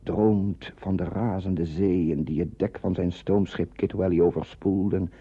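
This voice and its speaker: An actor playing a poetic narrator in a radio play, speaking slowly and in a hushed, incantatory way with a husky, gravelly voice. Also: male voice, low voice, fairly even intonation, no echo. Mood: intense, incantatory. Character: hushed poetic male narrator of a sleeping town. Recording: dull vintage radio recording.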